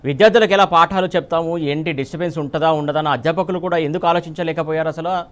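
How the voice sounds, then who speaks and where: A male news anchor delivers live breaking news at a rapid pace. His voice sits a little high, and he presses his questions insistently. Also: fairly even intonation.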